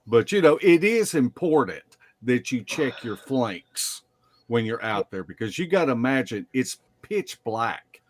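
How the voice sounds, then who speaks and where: Slow-talking man, dry close sound. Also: medium pitch, sing-song, no room sound. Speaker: guest, Bigfoot field investigator. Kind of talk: casual storytelling in a live podcast interview.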